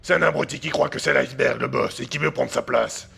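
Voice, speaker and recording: A man's voice: medium pitch, a little fast, vocal fry, a bit bright, husky, full character voice. An actor playing a crude, nervous young hitman who talks in slang and often hesitates. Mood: tense. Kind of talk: amateur audio drama.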